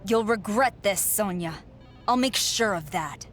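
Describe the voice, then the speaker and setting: A woman's voice: mid-range voice, a little slow, soft background music, natural voice. An actor playing a defiant, frustrated young female wrestler, insistent and indignant, in a video game cutscene. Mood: defiant anger.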